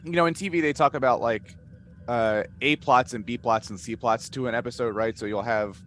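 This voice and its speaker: Halting man thinking aloud, noisy recording. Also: medium pitch, a bit bright. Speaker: podcast cast member and game master. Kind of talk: casual panel chat answering fan questions. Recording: noticeable background noise.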